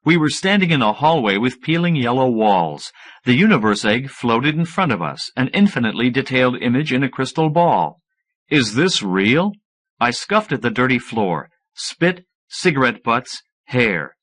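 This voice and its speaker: A man reading first-person fiction as an audiobook narrator, in an expressive scripted style. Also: medium pitch, normal speed.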